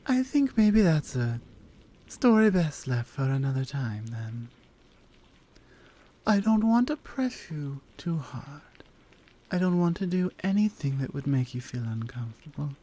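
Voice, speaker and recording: A woman voicing a strong, flirty lioness blacksmith in a roleplay ASMR audio, coaxing and gently teasing, yet considerate. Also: low-pitched, sing-song, a little slow, heavily acted. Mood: gentle, curious.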